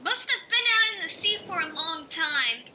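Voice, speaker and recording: An actress playing a laid-back, scrappy dragon with a dry, casual manner in an audio drama. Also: female voice, very high voice, big pitch swings, normal speed, sharp tone, far from the mic, full character voice. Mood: wry, casual. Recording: noisy outdoor-style ambience.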